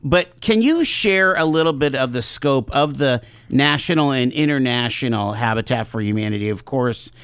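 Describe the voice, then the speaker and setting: A man hosting a live talk show from a studio, upbeat and quick with fillers. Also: medium pitch, a bit bright, clear, resonant tone, no echo. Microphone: studio mic.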